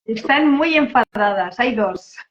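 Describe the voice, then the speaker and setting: A woman's voice: a little high, lively intonation, measured pace. A woman with an accent from Spain hosting a casual interview, agreeable and a little hesitant. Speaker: interview host. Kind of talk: casual online interview chat. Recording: slightly dull, lo-fi sound.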